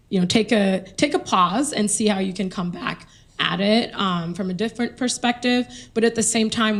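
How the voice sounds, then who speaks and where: A woman on a conference panel, speaking thoughtfully with occasional fillers. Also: medium pitch. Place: conference hall.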